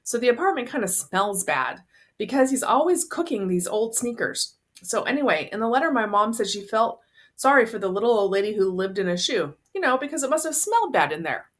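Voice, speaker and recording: A woman's voice: on the high side, very animated, fairly quick, voice pushed up. A woman voicing an awkward, earnest teenage boy who explains things hesitantly in a story reading. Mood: awkward, explaining.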